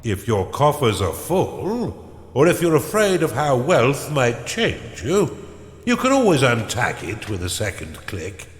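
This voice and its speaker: Sardonic evil dungeon mentor for a game, super swoopy delivery, echoey. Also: male voice, mid-range voice, wildly swooping pitch, measured pace. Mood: instructive, sly. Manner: pompous, sardonic, theatrical. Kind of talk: scripted game narration.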